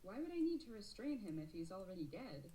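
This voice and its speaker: Lively, skeptical starship medic played by a woman. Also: mid-range voice, a little fast, slight background noise, lightly acted, rough recording. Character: brisk, skeptical female medic aboard a starship. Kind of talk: sci-fi audio drama acting.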